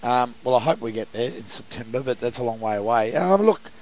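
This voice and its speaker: Hoarse coach; halting answers after the game. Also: male voice, mid-range voice, lively intonation, darkish tone, slight background noise, poor audio. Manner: halting, many restarts and fillers. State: hoarse, has lost his voice. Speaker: football coach. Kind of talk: post-match interview.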